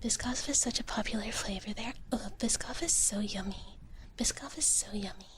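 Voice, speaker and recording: A woman livestreaming ASMR, chatting casually in a gentle, slow whisper and often repeating short phrases, close to the mic. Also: medium pitch.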